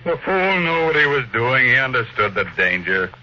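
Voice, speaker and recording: Forceful, sharp-edged ruthless tycoon. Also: male voice, medium pitch, big pitch swings, moderate pace. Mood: cold, determined. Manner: curt, commanding, imperious. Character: ruthless, obsessed, domineering millionaire tycoon. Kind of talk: old-time radio drama acting. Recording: dull, noisy vintage radio recording.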